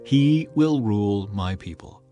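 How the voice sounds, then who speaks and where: A man's voice: lowish voice, lively intonation, unhurried, mellow, lo-fi sound, faint music behind, pitched below the performer's own voice. A man playing an authoritative, merciful God in a dramatized scripture reading. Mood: solemn authority. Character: the Lord God, authoritative, merciful divine male ruler.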